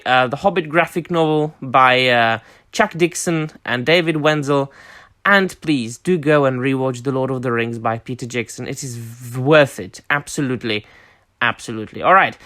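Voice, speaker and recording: A man's voice: a little high, bright, forceful tone, dry recording, clean studio sound. A man hosting a review vlog, enthusiastic and emphatic, with many fillers. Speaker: host. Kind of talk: book and film review vlog.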